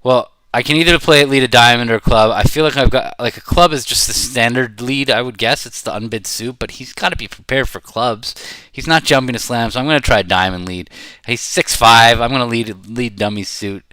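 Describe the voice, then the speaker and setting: Bright-voiced man thinking aloud over a game. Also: mid-range voice, clean tone, no echo. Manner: rambling, halting, thinking aloud. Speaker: bridge player commentating while playing. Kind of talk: casual live game commentary.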